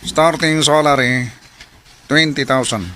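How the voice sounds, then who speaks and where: A man's voice: fairly high pitch, fairly even intonation, slow pace, very noisy. A man playing a pushy employer in a Bisaya radio drama, clipped and insistent, with a Filipino accent. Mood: persuasive. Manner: clipped, insistent, mixes in English phrases. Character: smooth-talking, pushy male employer offering a job.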